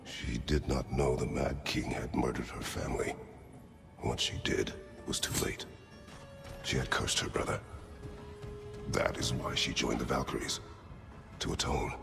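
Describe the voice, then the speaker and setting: Casting: grim middle-aged warrior for game drama. Extremely low, heavily creaky, clipped formal lines. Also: male voice, fairly even intonation, unhurried, long pauses, over music, exaggerated voice. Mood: solemn. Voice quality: deep, gravelly. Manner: terse, formal, without contractions. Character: stern, huge middle-aged male warrior, grimly terse. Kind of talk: video game drama acting.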